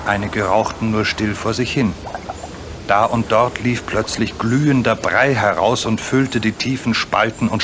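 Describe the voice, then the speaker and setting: A man narrating a scripted children's adventure story as the narrator of a radio play. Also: on the low side. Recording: faint background noise.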